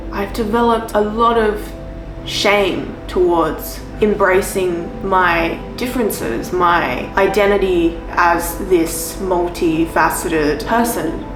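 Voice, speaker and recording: A young woman with an Australian accent, hosting a personal development vlog and speaking in an earnest, reflective, confessional way. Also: lowish voice. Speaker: YouTube channel host. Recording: roomy sound with background music.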